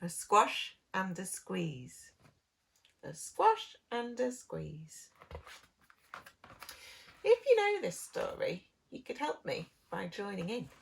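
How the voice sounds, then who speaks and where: A woman reading a story to young children, expressive, with exaggerated emphasis, as a preschool reader does. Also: mid-range voice, slow, gravelly, long pauses. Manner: expressive, exaggerated emphasis, talking to little ones.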